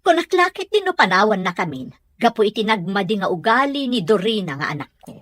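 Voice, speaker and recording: A woman's voice: medium pitch, very animated, a little fast, fairly bright tone, no room sound. A woman playing an anxious mansion mistress and mother in an Ilocano audio drama, fretful and questioning.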